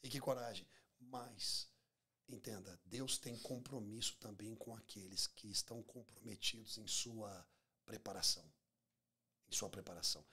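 Emphatic Brazilian pastor, slightly husky. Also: male voice, on the low side, moderate pace, pushed and bright. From Minas Gerais, Brazil. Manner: emphatic, repeats phrases for effect, acts out dialogue. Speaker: pastor, podcast guest. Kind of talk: preaching-like conversational talk. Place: small podcast studio. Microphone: close studio microphone on table stand.

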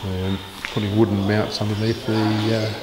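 A man giving a casual, hesitant, unhurried walkaround commentary as a vlogger. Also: on the low side, level tone. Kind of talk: casual narrated site walkaround. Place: building site, outdoors and inside bare concrete rooms. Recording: loud construction site noise, rasping and knocking.

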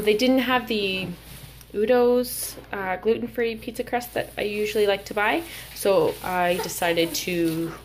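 A young woman chats in an unscripted vlog style. Her voice sits a little low, and she rambles hesitantly from thought to thought. The handheld home recording has noticeable background noise. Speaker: vlogger. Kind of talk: casual grocery haul narration, unscripted. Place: indoors at home. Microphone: handheld camera, held by speaker. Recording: clear background noise, handling clicks.

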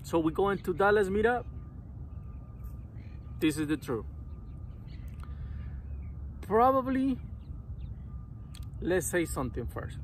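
Man, thirties, casual vlog, slightly high pitch, phone held at arm's length, outdoors, faint background noise. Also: very animated, takes it slowly, pauses often. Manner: chatty, rambling, many "uh" fillers. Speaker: lawn care business owner vlogger. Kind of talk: casual vlog talking to camera. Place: outdoors in a sunny city park, sitting on grass. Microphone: phone camera at arm's length.

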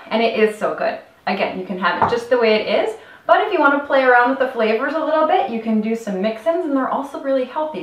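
A woman in her thirties, a health coach, giving an upbeat step-by-step cooking demonstration in her home kitchen and talking straight to the viewer. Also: medium pitch. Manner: upbeat, instructional, addresses viewer directly. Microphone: camera mic at a distance. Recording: roomy kitchen from a distance.

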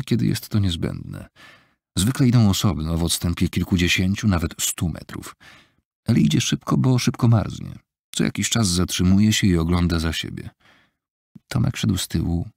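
A deep-voiced man narrates an audiobook in a measured, even way. His voice is gravelly and a little husky. The recording is dry and close, with no room around it. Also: dry recording. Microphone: close studio mic, dry.

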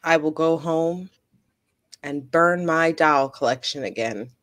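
A woman's voice: sits low, slow pace, a bit bright. An American woman from Wisconsin reading a part and bantering at a live table read over a video call. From Wisconsin, United States. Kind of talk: character reading and casual banter.

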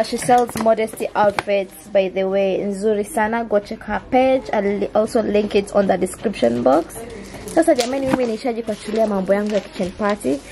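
Young woman, Kenyan accent, hoarse, sore throat, chatty vlog, close camera mic, fairly high voice. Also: noisy background. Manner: chatty, mixes English and Swahili. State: hoarse, losing her voice. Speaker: vlogger. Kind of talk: casual vlog talk to camera. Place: indoors. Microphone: camera mic held close.

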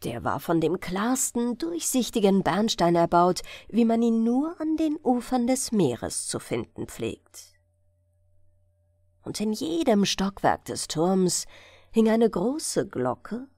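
A woman narrating a bedtime fairy tale in a measured, expressive storytelling manner. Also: medium pitch, no echo, studio quality. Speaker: audiobook narrator.

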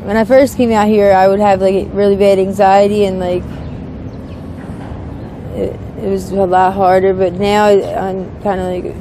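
A woman in her thirties answering interview questions candidly and casually, halting and filling her sentences with "like". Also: medium pitch, flat delivery. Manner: hesitant, halting, peppered with "like". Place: outdoors on a city street by a brick wall. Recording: outdoor street noise, passing vehicles.

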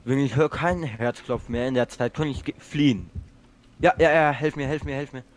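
Young man, casual gamer chat, excitable, yelps under pressure, light background hiss. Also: medium pitch, brisk. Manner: excitable, swearing, yelps when chased. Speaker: gamer co-op player. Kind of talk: casual let's play chat.

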